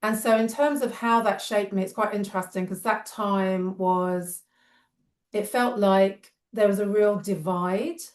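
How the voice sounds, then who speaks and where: A woman's voice: medium pitch, steady tone, unhurried, echoey room. A woman in her fifties from England with a London accent touched by a Northern edge, a charity guest talking thoughtfully in long reflective runs. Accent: London English with a slight Northern edge. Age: 50s. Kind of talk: reflective interview talk.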